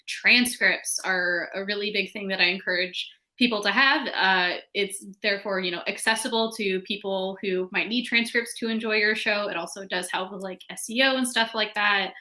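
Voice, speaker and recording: A woman talks as a creator on a casual discussion panel. Her voice is bright and crisp-edged at an average pitch. She phrases things very informally, dropping in "like" often. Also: fairly even intonation, normal speed, hardly any pauses. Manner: informal, peppered with "like". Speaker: panelist, fiction podcast creator.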